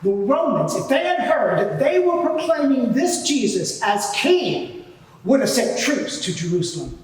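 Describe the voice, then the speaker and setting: Guy playing a haughty defensive high priest in courtroom drama, voice swings up and down, far off mic in a big hall. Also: sing-song, measured pace, exaggerated voice. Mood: defensive, emphatic. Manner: emphatic, defensive, formal. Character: haughty, evasive male high priest on the witness stand. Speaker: witness in a dramatised inquiry. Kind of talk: live courtroom drama acting. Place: large reverberant courtroom, live event. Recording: very echoey hall, distant microphone.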